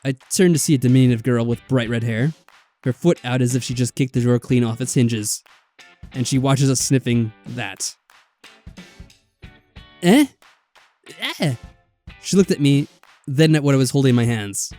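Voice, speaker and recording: A man's voice: medium pitch, normal speed, pauses often, dark tone. A man reading a visual novel aloud as a let's player, dropping in wry, exasperated casual asides. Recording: background music.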